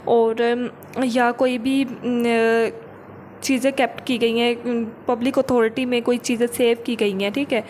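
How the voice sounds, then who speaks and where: Woman teaching law, voice a bit high, repeats points n mixes in english terms, faint noise behind her. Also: level tone, measured pace, darkish tone. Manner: didactic, repeats points, checks understanding. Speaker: law lecturer. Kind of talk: explanatory lecture, reading legal clauses and paraphrasing them.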